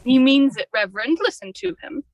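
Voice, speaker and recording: Woman as high, animated young Edwardian wife. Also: brisk, creaky, muffled recording, full character voice. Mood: fondly exasperated. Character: young, genteel, fondly exasperated Edwardian wife. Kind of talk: old-time radio drama acting.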